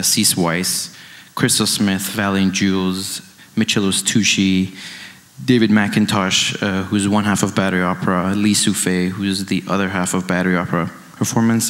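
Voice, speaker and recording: A man in his late twenties from Iran giving an informal, reflective artist talk, halting and circling back over his phrases. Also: low voice, a bit bright. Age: late 20s. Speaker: dance artist, panelist. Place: indoor event stage, amplified.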